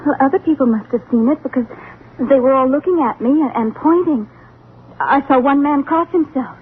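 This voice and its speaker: A woman's voice: sits high, moderate pace, close to an everyday voice. Actress as a wistful young woman, tinny radio. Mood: awed, dreamy. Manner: wistful, reticent. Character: dreamy, guarded young woman who saw a saucer. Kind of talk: vintage radio drama acting. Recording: tinny, noisy vintage radio recording.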